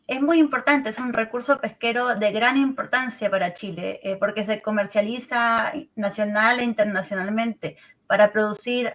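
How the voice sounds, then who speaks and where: A woman in her thirties with a Peruvian accent, a marine researcher calmly explaining in an interview from home over a remote call, often ending phrases with a questioning tag. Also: a little high, poor audio. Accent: Peruvian Spanish. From Peru. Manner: calm, explanatory, many "eh" and "¿no?" tags.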